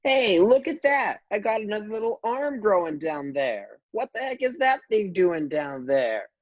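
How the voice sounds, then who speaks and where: A woman's voice: mid-range voice, big pitch swings, unhurried, no echo, highs cut off. A woman voicing a wisecracking, easily startled baby boy in a comedic radio play. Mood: curious, puzzled. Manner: exclamatory, wry, repeats words.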